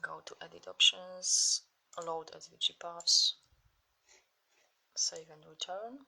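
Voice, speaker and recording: A woman with a Polish accent, giving step-by-step instructions in a software tutorial over mouse clicks. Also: on the low side, fairly even intonation, slow, creaky, rough recording. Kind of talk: screen-recorded software tutorial.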